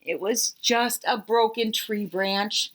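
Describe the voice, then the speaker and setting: A woman narrating a children's story aloud in an expressive, emphatic way with dramatic pauses. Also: a little high.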